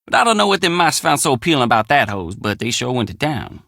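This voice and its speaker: A man's voice: medium pitch, big pitch swings, fast, gravelly, pushed and bright, no room sound, clean studio sound, heavily acted. An actor playing a friendly, plainspoken young country handyman with an American drawl in a video game. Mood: easygoing, matter-of-fact. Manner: folksy, plainspoken country phrasing. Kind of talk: video game character dialogue.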